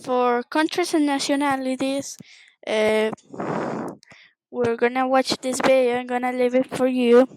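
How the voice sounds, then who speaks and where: A woman's voice: sits high, fairly even intonation, a little slow, darkish tone, dry recording, poor audio. A woman giving an informal English lesson as a teacher, narrating what is on screen in simple, instructional phrases and repeating words.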